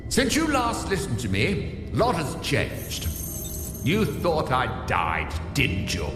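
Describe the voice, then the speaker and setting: An actor playing a chained prisoner who narrates an audio drama, teasing and confiding in the listener in a wry, cryptic way. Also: male voice, mid-range voice, wildly swooping pitch, slow pace, exaggerated voice. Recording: echoing, long reverb, music underneath.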